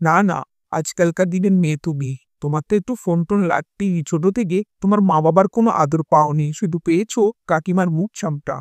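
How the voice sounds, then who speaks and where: A man's voice: on the high side, fast, dry recording, lightly acted. A man voicing a humble, loving young husband in a cartoon story. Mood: tender. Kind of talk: Bengali cartoon fairy-tale voice acting.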